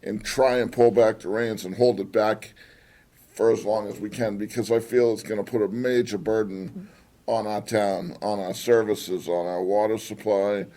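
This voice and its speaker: A blunt, folksy man makes his points at a town forum. His voice is lowish and mellow, and he pushes hard on each point. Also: steady tone, normal speed. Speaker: town board candidate. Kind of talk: candidate forum answer.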